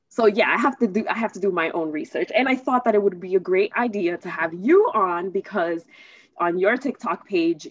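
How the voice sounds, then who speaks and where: An American woman hosting a casual interview, speaking haltingly, searching for words and repeating herself. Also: on the low side, dry recording, dull audio. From United States. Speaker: host interviewing a guest.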